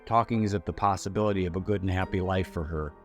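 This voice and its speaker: A man with a fairly low voice narrates a television retrospective. The delivery is scripted storytelling, with faint guitar music behind him. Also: fairly even intonation, fast talker. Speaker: narrator of a TV review video.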